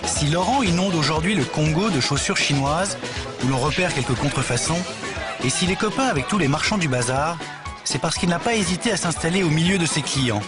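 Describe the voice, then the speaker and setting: High-pitched male documentary narrator over music. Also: expressive, brisk. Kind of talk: scripted narration. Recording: music behind the voice.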